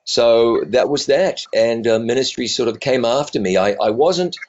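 A middle-aged man with a New Zealand accent softened by years in the US, telling his story in an animated, joking way. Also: medium pitch, normal speed, dull audio. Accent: New Zealand, softened by decades in the US. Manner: animated, self-deprecating, jokey. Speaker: evangelist and TV presenter. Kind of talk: storytelling interview answers.

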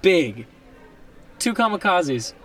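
A man playing an excited, foul-mouthed comedy manager in an audio drama, effusive and emphatic like a salesman. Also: sits high, takes it slowly, far from the mic, some chatter behind, exaggerated voice. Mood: excited, boasting. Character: hyped, fast-talking, foul-mouthed male comedy manager buddy.

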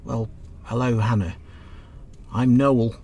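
A man's voice: medium pitch, steady tone, slow, a little mellow. Guy playing british cab driver in a radio play, chatty, good hearted, bit exasperated, vehicle noise behind him. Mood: kindly, coaxing. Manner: chatty, colloquial, calls passenger love. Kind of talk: radio drama acting.

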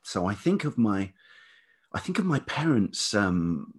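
A middle-aged man from Kent with a southern English accent and a velvety voice, telling personal stories thoughtfully as an interview guest, often pausing mid-sentence. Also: fairly low pitch, big pitch swings, breathy edge. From Kent, England. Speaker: podcast guest, writer and musician. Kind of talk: reflective personal storytelling in conversation.

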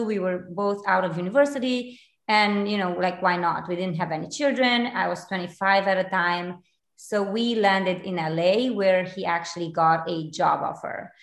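A woman in her forties from Romania, a realtor guest in a casual interview, chatty with many fillers and restarts. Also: medium pitch. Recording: echoey room.

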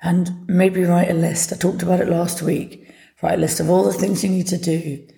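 A woman hosting a solo podcast, hoarse from having partly lost her voice, chatting in an upbeat, motivational way. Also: fairly low pitch, little pitch movement, moderate pace, warm tone, slight background noise. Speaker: podcast host. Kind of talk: casual solo podcast talk. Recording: echoey room.

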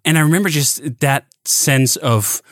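A man's voice: fairly high pitch, unhurried, a bit bright, no room sound, clean studio sound. A man from Denmark, hosting a casual podcast conversation, frank and emphatic, swearing freely and restarting phrases. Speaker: podcast host.

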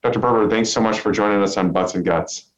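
A middle-aged man hosting a medical podcast and interviewing a guest in a measured way. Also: on the low side. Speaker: podcast host. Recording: dull, lo-fi sound.

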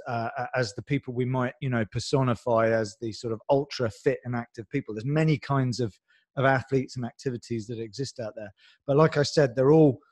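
Male podcast host, mid-range voice, eager and chatty, rambles through his questions. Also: medium pitch. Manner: enthusiastic, rambling, many fillers. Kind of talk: casual podcast interview.

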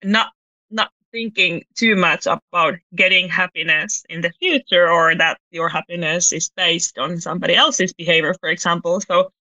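A woman from Finland with a Finnish accent, a company founder speaking as a podcast guest and pausing to find her words. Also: lowish voice, steady tone, pushed and bright, low-quality recording. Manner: thoughtful, searching for words, light laughs. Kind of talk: podcast interview.

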